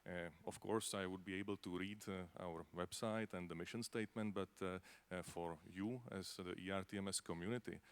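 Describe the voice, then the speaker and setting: Czech-accented man, deep voice. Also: steady tone, fairly quick, few pauses, clear, resonant tone. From Czech Republic. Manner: conversational, many fillers, tag questions. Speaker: panelist from a space agency. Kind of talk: conference panel answer.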